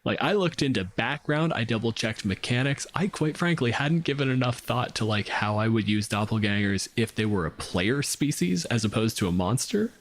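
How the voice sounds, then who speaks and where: A man's voice: mid-range voice, expressive, moderate pace, few pauses. A man hosting a casual podcast discussion on tabletop games, analytical and informal, with swearing mixed in. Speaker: podcast host.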